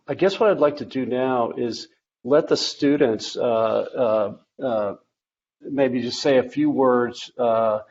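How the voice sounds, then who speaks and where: A man from an architecture school faculty walks prospective students through the school's facilities and events on a video call. He speaks haltingly, filling pauses with "uh" and restarting phrases, at an ordinary mid pitch. The audio is slightly muffled, with faint noise behind him. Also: darkish tone. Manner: halting, many fillers and restarts. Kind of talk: virtual open house presentation.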